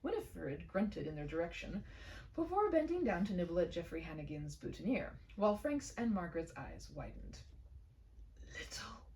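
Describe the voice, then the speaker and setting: Very animated woman storyteller, creaky voice. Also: lowish voice, moderate pace, distant mic, slight background noise, rough recording. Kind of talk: expressive read-aloud of a humorous short story.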